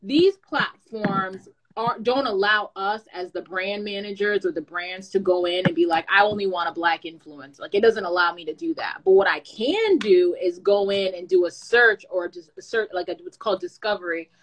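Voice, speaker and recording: A woman who is a content creator and brand manager, giving advice in a lively, chatty way with playful asides. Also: mid-range voice, normal speed, few pauses, slight background noise, low-quality recording. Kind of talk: casual advice talk.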